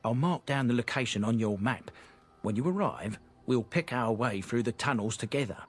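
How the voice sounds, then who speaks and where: A man voicing a grateful, formal male guide with a husky voice. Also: mid-range voice, lively intonation, normal speed. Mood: courteous. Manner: formal, courteous.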